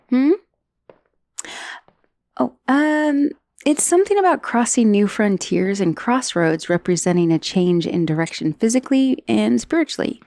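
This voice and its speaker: A woman's voice: mid-range voice, warm tone, no echo, natural voice. A woman playing a friendly, chatty dullahan, a magical severed head, in an ASMR roleplay with casual, hesitant asides. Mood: casual, musing. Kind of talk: ASMR roleplay acting, addressing the listener.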